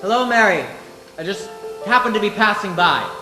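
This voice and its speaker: A man's voice: high-pitched, lively intonation, normal speed, over music. A man playing an awkward, stubborn and prickly small-town young man in a live radio play, stammering and flustered. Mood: flustered, defensive. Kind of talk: live 1940s-style radio play acting. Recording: roomy stage with faint noise.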